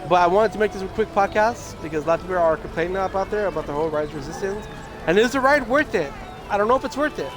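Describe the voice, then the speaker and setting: A man's voice: sits high, lively intonation, quick pace. A man with a scratchy voice he says is going, chatting casually and rambling on a livestream. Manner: rambling, with many restarts. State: hoarse, losing his voice. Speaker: streamer. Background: video game music.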